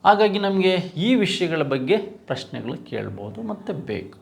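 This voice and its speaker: A man's voice: on the high side, big pitch swings, moderate pace, gravelly. A man with a Karnataka Kannada accent lecturing as an exam coach, didactic, repeating key points and posing rhetorical questions. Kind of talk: lecture, reviewing quiz questions.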